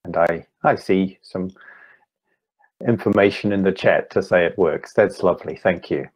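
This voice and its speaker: A man in his fifties with a New Zealand accent, giving an online talk as a meditation teacher, speaking slowly and deliberately with gentle pauses. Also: low voice, husky, rough recording. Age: 50s. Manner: slow, deliberate, gently pausing. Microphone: video call.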